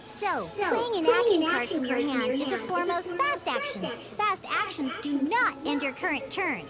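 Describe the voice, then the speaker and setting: Casting: young female fantasy game tutorial guide, extremely high voice, lively swings, playful, encouraging, music underneath. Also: measured pace, full character voice. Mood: reassuring, explaining. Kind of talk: scripted game tutorial dialogue.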